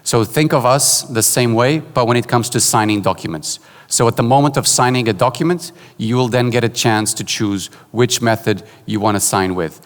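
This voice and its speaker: A man from Portugal giving a conference keynote, relaxed and joking, with many fillers and restarts. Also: mid-range voice, normal speed. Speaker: keynote speaker. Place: large conference hall. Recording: hall reverb.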